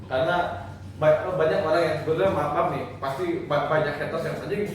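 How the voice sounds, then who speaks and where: A man with a Sundanese accent talks in loose, slangy casual chat, joking and repeating himself. His voice sits a bit high. He is recorded from a distance in a very echoey room with clear background noise. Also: fairly even intonation, a little fast. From West Java. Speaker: guest.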